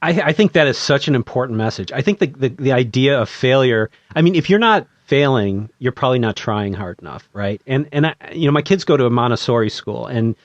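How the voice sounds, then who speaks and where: A man's voice: medium pitch, animated, fairly quick, hardly any pauses, no room sound, lo-fi sound. A man hosting an interview podcast, introducing and questioning his guest. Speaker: podcast host.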